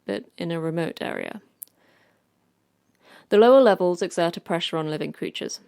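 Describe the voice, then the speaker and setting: A woman's voice: lowish voice, moderate pace, creaky, warm tone, smooth, no echo, clean studio sound. A woman playing the terse, stern manager of a secret facility in a sci-fi horror audio drama, speaking in clipped, commanding instructions. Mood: grave, instructive.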